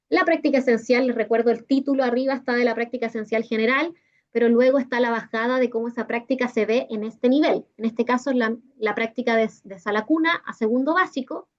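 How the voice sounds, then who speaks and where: Chilean female workshop presenter, higher register, explanatory with little check-in tags, muffled video-call sound. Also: steady tone, fairly quick. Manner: didactic, checks in with short tag questions. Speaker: teacher-training workshop presenter. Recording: dull, lo-fi video call audio.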